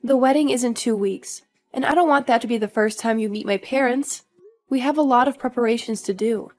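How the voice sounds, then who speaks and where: A woman's voice: on the high side, brisk, faint music behind, lightly acted. A woman playing an earnest young daughter-in-law who is polite but firm, in a scripted audio story. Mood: firm, insistent. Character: earnest, indignant young daughter-in-law standing her ground.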